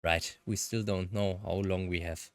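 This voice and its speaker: A woman's voice: a bass voice, steady tone, a little slow, no echo, voice pushed down. A woman voicing an earnest, uneasy protagonist with memory loss in a visual novel, reading in a hesitant, questioning way. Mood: uneasy, wondering.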